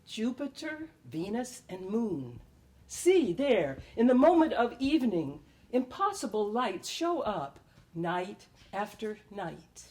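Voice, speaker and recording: A woman reading her own poetry aloud at a live event, in measured and expressive phrases with deliberate pauses. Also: medium pitch. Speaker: poet reading own work. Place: live public reading at a library.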